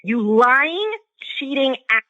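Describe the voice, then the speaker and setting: A woman's voice: sits high, expressive, takes it slowly, pushed and bright, no echo. A scornful, sarcastic woman in a heated confrontation over a muffled telephone line.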